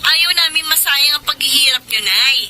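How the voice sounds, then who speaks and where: A very high, bright dutiful daughter. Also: female voice, normal speed, a little hiss or hum. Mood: cheerful. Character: dutiful, cheerful young married daughter. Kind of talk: Filipino radio drama acting.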